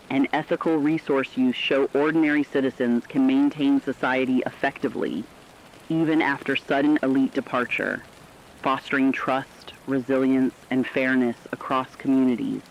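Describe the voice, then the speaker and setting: Muffled male news anchor, mid-pitched. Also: fairly even intonation, normal speed, slight background noise. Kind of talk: scripted news narration. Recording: dull lo-fi radio sound.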